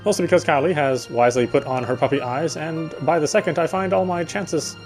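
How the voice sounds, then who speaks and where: Male game player as narrator: rapid read-aloud delivery with occasional stumbles, music underneath. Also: on the high side. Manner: stumbles and rereads phrases. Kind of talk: reading visual novel narration aloud.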